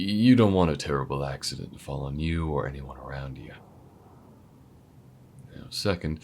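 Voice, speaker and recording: A man voicing a calm male alien gunslinger in an audio roleplay, speaking to the listener in a slow, folksy drawl with a patient, protective manner. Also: extremely low pitch, slight background noise. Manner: patient, steady instructing.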